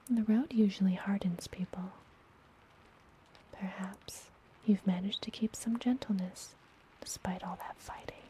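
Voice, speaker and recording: Woman acting as friendly witch apothecary, breathy ASMR whisper, unhurried soothing delivery, fantasy roleplay, some background noise. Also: mid-range voice, mellow, close to an everyday voice, poor audio. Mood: gentle, caring. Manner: slow, soothing, intimate personal attention. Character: friendly, gentle witch healer of a forest apothecary.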